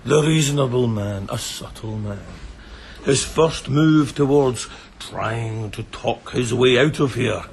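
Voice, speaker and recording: An actor playing a brutal, lecherous bandit leader in a radio drama, taunting with theatrical mock courtesy. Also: male voice, mid-range voice, takes it slowly, creaky, darkish tone, slight background noise. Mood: mocking menace.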